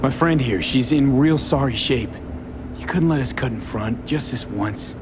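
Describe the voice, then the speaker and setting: A man's voice: medium pitch, moderate pace, background noise, lightly acted. A man playing a cool, determined mercenary in a video game, speaking in a blunt, terse, controlled way. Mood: steady. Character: cool, determined male mercenary, former nomad. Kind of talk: video game character acting.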